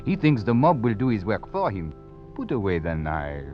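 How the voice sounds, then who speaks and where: Mysterious ingratiating merchant, low pitch, expressive swings, 1940s radio acting. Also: male voice. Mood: suave, reassuring. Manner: suave, unhurried, ingratiating. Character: smooth-talking, flattering, mysterious village shopkeeper. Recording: muffled old radio recording with music behind.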